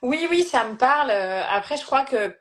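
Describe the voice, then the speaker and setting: A woman's voice: high voice, lively intonation, normal speed, fairly bright tone. A woman hosting a casual live-stream conversation as a life coach, speaking thoughtfully and sharing personal anecdotes.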